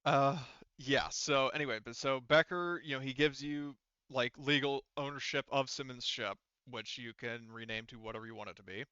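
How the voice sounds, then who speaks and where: A man's voice: medium pitch, steady tone, normal speed, hardly any pauses, pushed and bright, smooth, no room sound, lo-fi sound. A man casually running a tabletop game as game master, explaining things in a rambling way.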